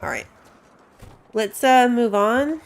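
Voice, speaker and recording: A woman's voice: a little high, sing-song, slow pace, gravelly. A woman giving casual live commentary as she plays a game, chatting and thinking aloud. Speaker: game streamer.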